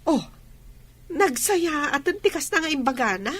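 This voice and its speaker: Teasing female friend, high swooping voice, unhurried, giggly, radio drama, slightly noisy recording. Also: sing-song, a bit bright, heavily acted. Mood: teasing, curious. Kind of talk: Ilocano radio drama acting.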